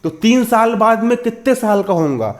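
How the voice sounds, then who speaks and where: A man in his twenties with a fairly high voice gives a school maths lesson. He explains each step, repeats key phrases, and keeps posing questions that he answers himself, checking that pupils follow. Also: lively intonation, moderate pace. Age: 20s. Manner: repetitive, asks and answers own questions, checks understanding. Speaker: maths teacher.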